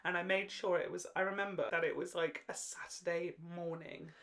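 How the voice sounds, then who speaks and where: A woman's voice: fairly low pitch, steady tone, a little fast, fairly bright tone. A woman in her twenties chatting casually as a podcast co-host, with a giggly, slangy, dramatic way of talking. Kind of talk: casual chat.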